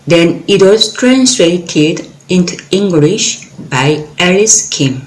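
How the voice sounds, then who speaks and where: A woman poet from South Korea, a native Korean speaker with a Korean accent, reading in sparse, measured short phrases. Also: lowish voice, animated, low-quality recording. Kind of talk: poetry reading with brief introduction.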